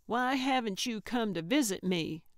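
Woman reading as a feisty older woman, smooth voice. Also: a little high, expressive, measured pace, no room sound, studio quality, pitched above the performer's own voice. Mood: indignant. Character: feisty, sentimental older woman who loves her cat. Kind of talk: audiobook character voice.

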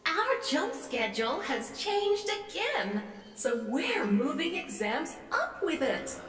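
A woman's voice: high-pitched, very animated, slow pace, a bit bright, echoey room, distant mic, heavily acted. A woman playing a strict, prim schoolteacher in a science fiction game, commanding and dryly scolding. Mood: prim, brisk. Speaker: teacher.

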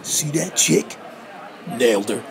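An actor playing a goofy, boastful male fast-food manager in an audio play, talking in slacker slang. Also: medium pitch, lively intonation, vocal fry, a crowd in the background, noisy background. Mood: bragging. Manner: bragging, laid-back slang.

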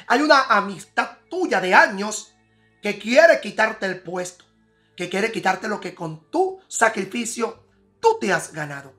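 A man's voice: sits high, sharp tone. A man giving a tarot prediction, repeating and rephrasing each line with emphasis. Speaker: tarot reader.

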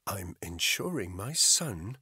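A man's voice: sits low, big pitch swings, slow pace, pushed and bright, husky, no echo, clean studio sound, exaggerated voice. A man voicing a volatile, dangerous woman who hisses and whispers her scorn. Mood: defiant.

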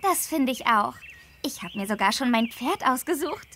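A woman's voice: sits high, expressive, normal speed, background noise. A woman voicing an eager, polite young girl on a riding holiday in a video game. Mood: excited. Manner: enthusiastic, polite. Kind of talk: scripted video game dialogue.

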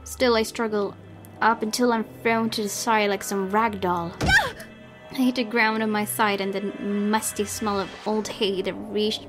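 A woman's voice: medium pitch, level tone, unhurried, loud music behind the voice. A woman let's player reading horror game narration aloud, with casual reactions of her own.

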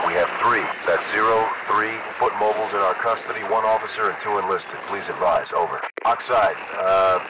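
A military radio operator for a shooter game. His voice is on the low side and his delivery is clipped, procedural and authoritative. It sounds off-mic, buried in loud background noise. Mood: businesslike. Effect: radio filter. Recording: very noisy radio, distant from mic.

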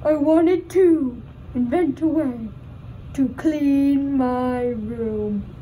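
A young woman voicing a dim, excitable puppet inventor in a scripted puppet show. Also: high-pitched, drawn-out words, poor audio. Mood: triumphant. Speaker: student puppeteer. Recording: faint background noise.

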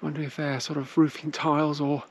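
A woman's voice: deep voice, little pitch movement, fast talker. A middle-aged woman giving walking-tour commentary at castle ruins, talking unhurriedly with many hesitations into a camera held at arm's length. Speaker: travel vlogger. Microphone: handheld camera at arm's length. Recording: outdoor noise in the background.

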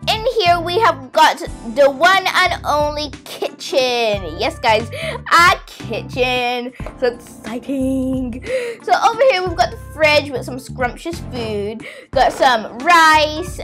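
A woman's voice: high voice, expressive, slow, fairly bright tone. A young girl hosting a casual, playful game tour, chatting to viewers in an exclamatory way over background music. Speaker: gaming video host.

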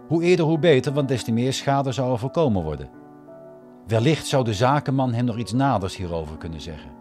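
A man's voice: medium pitch, darkish tone, natural voice. A man narrating a literary radio drama in a scripted storytelling style.

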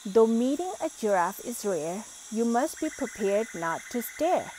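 A woman reads a children's picture book aloud in a slightly high voice. She is expressive and grows animated on the exclamations. A faint hiss sits under the recording. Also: unhurried. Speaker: storybook reader.

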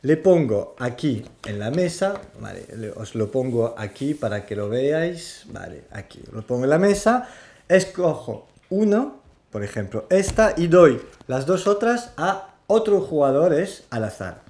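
A man, hosting a casual board game explainer in a halting way, searching for words. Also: a little high, big pitch swings, darkish tone. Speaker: host of a board game show. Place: small indoor room with game shelves.

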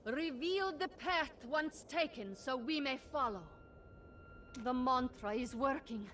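A woman's voice: high-pitched, slow pace, a little hiss or hum. An actress playing an earnest, devout female warrior monk in a fantasy video game, speaking formally. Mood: reverent. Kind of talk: dramatic scripted video game dialogue. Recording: loud game music behind the voice.

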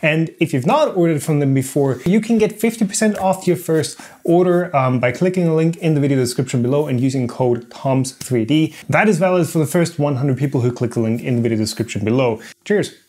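A man's voice: on the high side, a little fast, hardly any pauses, darkish tone. A man in his thirties presenting a hands-on maker tutorial in a casual, explanatory way. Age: 30s. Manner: informal explanatory, hands-on demonstrating. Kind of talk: casual instructional product walkthrough. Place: indoor home studio workshop. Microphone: lapel mic.

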